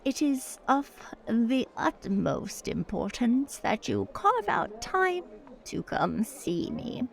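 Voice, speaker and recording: Woman as a slow, creaky old noblewoman. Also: fairly high pitch, wildly swooping pitch, faint music behind, heavily acted. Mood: insistent, flirtatious. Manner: drawn-out, imperious, coaxing. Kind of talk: improvised tabletop roleplay.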